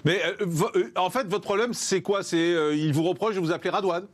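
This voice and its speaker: Host: a man with a fairly high voice, rapid delivery, stop-start with fillers, studio mic. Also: steady tone. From France. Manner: quick, hesitant with many fillers. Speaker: TV talk show host. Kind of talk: TV studio debate.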